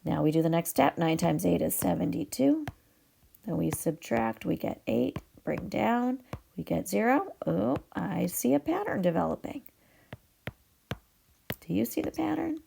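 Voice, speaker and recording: A woman teacher explaining a math problem step by step, her voice raspy from a cold. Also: fairly low pitch, lively intonation, a little slow, warm tone, a little hiss or hum. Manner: upbeat, patient explaining with fillers. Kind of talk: video math lesson.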